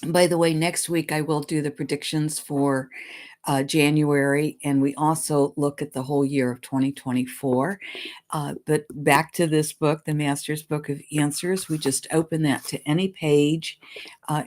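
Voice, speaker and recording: A woman psychic giving her weekly message in a casual way, her voice deep and hoarse from congestion as she recovers from illness. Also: darkish tone. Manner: chatty, apologetic, clears throat. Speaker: psychic channeling a spirit guide.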